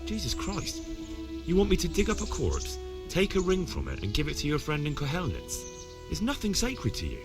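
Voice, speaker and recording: An actor playing an earnest, honest young village lad in a medieval video game, speaking plainly and with principle. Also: male voice, on the high side, huge pitch swings, normal speed, over music. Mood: wary, appalled. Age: young adult. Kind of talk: video game dialogue acting.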